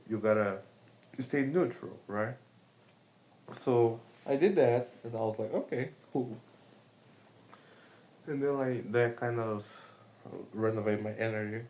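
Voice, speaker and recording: A man's voice: lowish voice, a little mellow, rough recording. A man from Brazil, a remote sales rep speaking English with a Brazilian Portuguese accent, rambling casually with lots of fillers and swearing. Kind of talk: casual vlog monologue.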